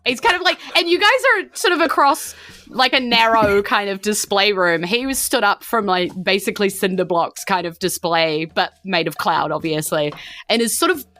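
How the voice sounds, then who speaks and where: A woman's voice: mid-range voice, normal speed, sharp tone, no room sound. A woman with a New Zealand accent narrating a tabletop game as game master in a casual, informal way. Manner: casual, informal, giggly asides. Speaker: game master and podcast host.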